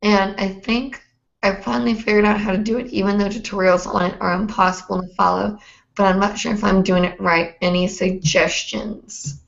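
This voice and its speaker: A young woman hosting a hoop dance livestream, hoarse and chatty, rambling with many fillers. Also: medium pitch, fairly even intonation, normal speed. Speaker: host. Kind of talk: casual livestream chat. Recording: roomy, dull lo-fi stream audio.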